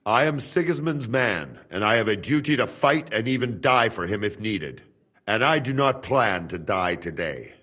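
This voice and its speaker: An actor playing a proud, stubborn male castle guard who talks in a measured, quietly threatening way in a video game cutscene. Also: lowish voice, animated. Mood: calm.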